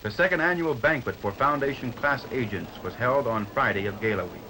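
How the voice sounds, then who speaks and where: A bright, forceful American male announcer reads a 1950s newsreel script. His delivery is formal and measured, at a medium pitch. The old soundtrack carries faint noise behind his voice. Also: poor audio. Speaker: newsreel narrator.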